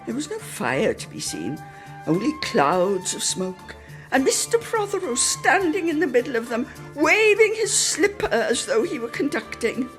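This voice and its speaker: A woman's voice: sits high, big pitch swings, measured pace, faint music behind. A woman giving a theatrical, lyrical reading of a literary story, savouring each phrase. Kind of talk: theatrical scripted story narration.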